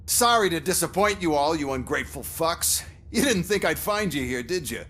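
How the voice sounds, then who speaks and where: Smug jerk boss, highish voice, sneering, sarcastic, sweary, video game. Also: male voice, expressive, moderate pace, fairly bright tone, a little hiss or hum. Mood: sarcastic, taunting. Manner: sarcastic, taunting. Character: sneering, arrogant, foul-mouthed male authority figure. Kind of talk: video game cutscene dialogue.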